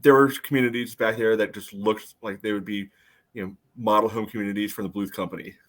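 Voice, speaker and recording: A man's voice: lowish voice, steady tone, quick pace. A middle-aged man chatting casually about sports as a podcast host, meandering and often saying "you know.".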